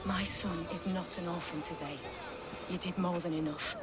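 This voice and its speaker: A woman's voice: on the low side, steady tone, normal speed, close to an everyday voice. A woman playing a proud, grieving widowed mother in a video game. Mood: grateful. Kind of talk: video game dramatic acting. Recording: loud music over the voice.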